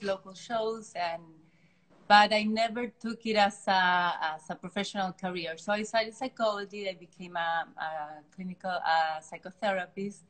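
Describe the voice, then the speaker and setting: A woman's voice: medium pitch, low-quality recording. A middle-aged woman from Chile with a Chilean Spanish accent, a native Spanish speaker, talking as a guest in a casual interview with frequent restarts. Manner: warm, halting, frequent restarts. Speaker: artist guest. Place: art studio.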